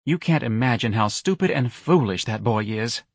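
A man voicing an effeminate young man, breathy, gushing and dramatic, as a character in an audiobook. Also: medium pitch, lively intonation, normal speed, no echo, studio quality. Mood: catty.

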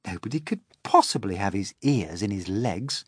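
A man's voice: fairly low pitch, animated, normal speed, slightly rough, no echo, studio quality. A man voicing a curious, polite small boy who asks earnest questions. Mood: wondering. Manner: wide-eyed, earnest, questioning.

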